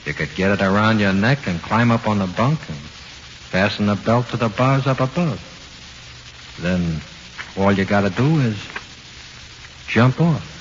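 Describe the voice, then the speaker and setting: A man's voice: lowish voice, animated, unhurried, pauses often. An actor playing a dead man's ghost, a cold, sly American tough guy, slangy and coaxing, in a 1940s radio horror play. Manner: slangy, matter-of-fact, coaxing. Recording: muffled, hissy 1940s radio recording.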